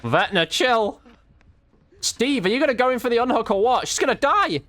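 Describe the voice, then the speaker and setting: Animated man commentating over gameplay. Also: extremely high pitch, normal speed. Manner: reactive, exclamatory, slangy. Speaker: video game streamer, YouTube host. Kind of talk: live gameplay commentary, casual chat.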